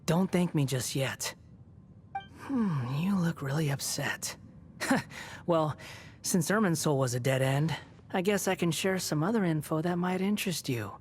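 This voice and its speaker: A man's voice: fairly high pitch, animated, measured pace, a little hiss or hum. An actor playing a proud, bitter young male wanderer in a video game, with a dry, aloof, cutting manner. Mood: wryly mocking. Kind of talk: video game character acting.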